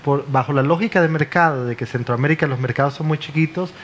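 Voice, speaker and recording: A man speaking on a literature panel in a measured way, often checking in with tag questions. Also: fairly high pitch, a little hiss or hum.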